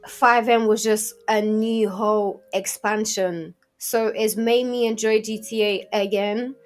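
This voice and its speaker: A woman's voice: medium pitch, fairly even intonation, a little slow. A woman in her twenties from Portugal chats casually as a podcast guest, telling things in slangy English. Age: 20s. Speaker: podcast guest and game streamer. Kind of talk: casual interview chat. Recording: music playing behind the talk.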